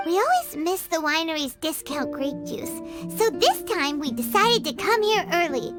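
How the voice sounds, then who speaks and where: Squeaky, very high-pitched little fairy. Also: female voice, sing-song, normal speed. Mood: eager, chirpy. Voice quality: squeaky, childlike. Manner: exclamatory, eager, bubbly. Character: tiny childlike female fairy companion, chirpy, excitable, nosy. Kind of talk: video game character acting. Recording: music behind the voice.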